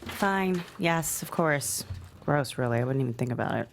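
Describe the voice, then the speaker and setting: A woman's voice: rumbling low, lively intonation, moderate pace, creaky, noisy background, full character voice. A woman reading the part of a blunt, teasing young adult daughter in a script table read, frank and unembarrassed.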